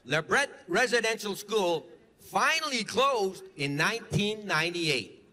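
Brief: male presenter, scripted and formal, high, bright tenor voice.

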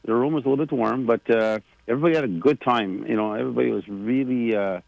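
A man's voice: mid-range voice, fast talker, creaky, a little mellow, no echo, dull audio. A man in his sixties chatting casually on the radio, rambling with many fillers and restarts. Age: 60s. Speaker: president of a government, radio guest.

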